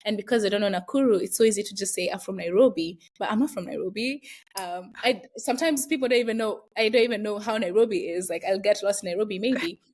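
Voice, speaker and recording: A woman's voice: medium pitch, fast, a little mellow. Young adult woman, Kenyan accent, interview guest, reflective and chatty, mid-range voice. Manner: chatty, reflective, frequent fillers and laughs. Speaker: guest, university student. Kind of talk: casual interview conversation.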